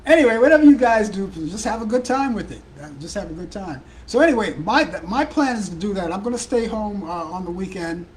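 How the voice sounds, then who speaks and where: A man from Brooklyn with a Brooklyn accent hosting a live talk show, chatting casually in a hoarse, worn-out voice. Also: high-pitched, expressive, fairly quick, hardly any pauses, a little hiss or hum. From Brooklyn, New York. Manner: rambling, chatty, addresses viewers and callers by name.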